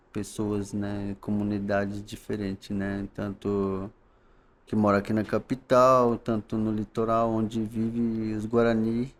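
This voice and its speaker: A man from the coast of São Paulo, Brazil, speaking as a podcast guest in a calm, halting way, often ending phrases with tag questions. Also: medium pitch, slight background noise. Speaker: guest, knowledge keeper. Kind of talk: podcast interview.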